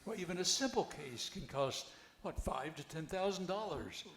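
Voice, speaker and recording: A man's voice: on the high side, lively intonation, normal speed, a bit bright. Older man, earnest helpful widower as a man reads him in a play, stumbling delivery, echoey recording. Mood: earnest, concerned. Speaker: amateur actor reading a play. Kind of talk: scripted play reading. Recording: echoey, roomy amateur recording.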